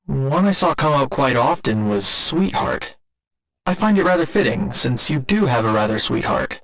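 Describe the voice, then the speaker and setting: A gentle robot boyfriend played by a man speaks in an intimate ASMR roleplay. His male voice sits at a middle pitch, and his phrasing is formal, measured and precise. He comes across as an earnest machine trying to learn about love. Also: sing-song, gravelly, poor audio. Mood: fond, polite.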